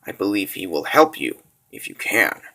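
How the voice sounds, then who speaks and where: A man voicing a gentle, protective war golem in a fantasy comic dub, speaking in a formal, deliberate and grave way. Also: sits low, wildly swooping pitch, vocal fry, slight background noise, full character voice. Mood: gentle, solemn.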